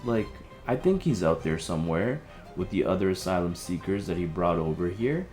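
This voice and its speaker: Man acting rambling, deep-voiced conspiracy-minded husband. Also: animated, darkish tone, soft background music. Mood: agitated, suspicious. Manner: rambling, halting, searching for words. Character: agitated conspiracy-minded husband piecing together a dystopian plot. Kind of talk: audio drama, conversational dialogue.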